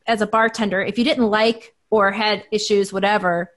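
A woman co-hosts a podcast and keeps the talk upbeat and chatty. Her voice sits at a middle pitch for a woman. She talks casually with her guest. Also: steady tone, moderate pace. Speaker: podcast co-host. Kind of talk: casual interview chat.

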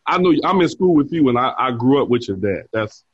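Husky-voiced man in his forties. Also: medium pitch, sing-song, fairly quick, dry recording, highs cut off. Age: 40s. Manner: easygoing storyteller who laughs often. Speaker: bar manager and podcast guest. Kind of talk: casual interview chat.

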